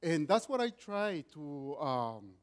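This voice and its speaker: A middle-aged man with a Mexican accent, Spanish his first language, testifying in church. His voice sits high for a man and carries loudly. He speaks earnestly and often restarts his sentences. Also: very animated, slow. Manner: loud voice, earnest with many restarts. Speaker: guest speaker giving testimony.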